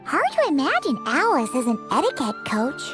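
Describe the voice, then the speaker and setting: Squeaky, excitable little childlike companion. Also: female voice, normal speed, music in the background. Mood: puzzled, curious. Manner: exclamatory, chirpy. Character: small childlike floating companion, excitable and bossy. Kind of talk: video game voice acting.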